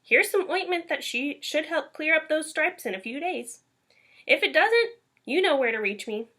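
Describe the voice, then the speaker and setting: A woman puts on a male family doctor from a children's storybook. He is puzzled yet assured and brisk, in a high, bright voice. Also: animated.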